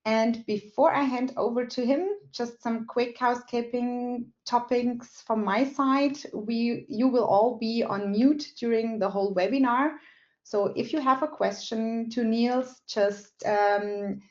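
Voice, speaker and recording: A woman introduces a webinar as its moderator, running through housekeeping points in a friendly, brisk way with a few fillers. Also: a little high, darkish tone. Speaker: marketing director, webinar moderator. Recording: dull lo-fi webinar audio.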